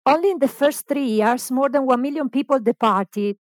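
A woman hosting a podcast, giving a formal, measured introduction read from notes. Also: high voice. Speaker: podcast host. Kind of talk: scripted introduction and background narration.

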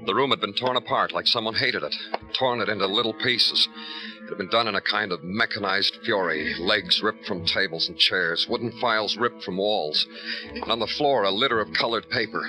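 Man as low-voiced, hard-boiled American radio detective. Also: natural voice, poor audio. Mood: brooding narration. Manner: terse, hard-boiled, deliberate. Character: tough, brooding, determined police detective narrating his case. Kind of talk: classic radio crime drama. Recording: old radio recording, music behind.

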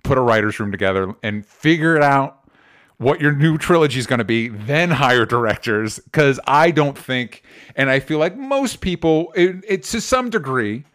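A man in an easygoing podcast chat, his voice sitting at a middling pitch. He rambles and loops back with plenty of ums and restarts. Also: expressive, normal speed, a bit bright. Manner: rambling, many restarts and fillers. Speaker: podcast co-host.

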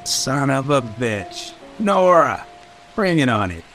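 Roguish mayor: cocky, irreverent, very animated swings in pitch, teasing radio-drama swagger over music. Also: male voice, unhurried. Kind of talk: old-time radio drama acting.

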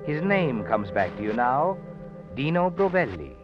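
A man's voice: mid-range voice, very animated, measured pace, music in the background. An actor playing an ominous, all-knowing narrator in old radio suspense, insinuating and dramatic as he addresses the hero. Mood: urgent, ominous. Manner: dramatic, insinuating, second-person telling. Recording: dull old radio recording, musical backing.

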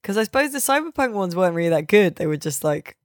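A woman's voice: on the low side, very animated, fast talker, no echo, clean studio sound. A woman hosting a podcast in casual, informal, discursive chat. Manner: informal, discursive, peppered with "like" and "you know". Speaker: podcast host.

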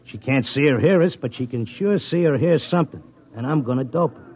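An actor playing a stubborn, lovesick Broadway handicapper with a New York accent and slangy talk, in 1950s radio drama style. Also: male voice, medium pitch, animated, fairly quick, a little mellow, breathy edge. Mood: stubborn, insistent. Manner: slangy, colloquial tough-guy talk. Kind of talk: 1950s radio drama acting, first-person narration and dialogue. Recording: dull vintage radio recording, music behind.

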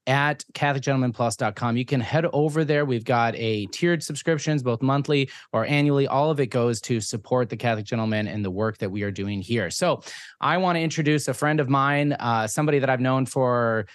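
A man's voice: medium pitch, a little fast, dry recording, studio quality. A man hosting a podcast in a conversational interview style, rambling a little and correcting himself as he goes. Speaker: podcast host.

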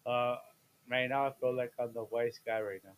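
A man in his fifties, a guest chatting casually on a podcast, playful and jokey, with sudden loud bursts and laughs mid-sentence. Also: mid-range voice, fairly even intonation, moderate pace. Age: 50s. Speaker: guest, host's father.

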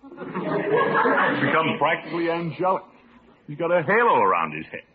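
Blustery uncle, wildly swooping voice. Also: male voice, a little high, big pitch swings, normal speed. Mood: worried, fretful. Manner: theatrical, exclaiming, exasperated. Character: blustery, fretful, pompous middle-aged uncle. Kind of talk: 1940s-50s radio comedy acting. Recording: tinny, noisy old radio broadcast.

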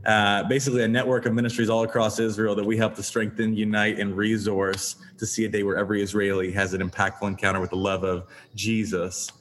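A man's voice: on the low side, level tone, quick pace, hardly any pauses, fairly bright tone, slight background noise. A young man with a Canadian accent, a ministry leader explaining his work earnestly in a casual interview. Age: young adult. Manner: earnest, explanatory, with frequent fillers.

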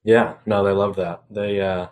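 Young adult male, slightly low pitch, podcast co-host, casual conversation. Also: little pitch movement, measured pace, darkish tone.

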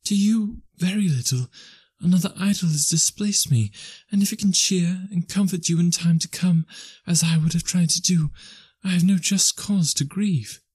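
A man doing a soft, sorrowful young woman. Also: sits high, moderate pace, husky, dry recording, pitched above the performer's own voice. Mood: sorrowful, earnest. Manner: soft, earnest, steady. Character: gentle sorrowful young woman releasing her fiancé.

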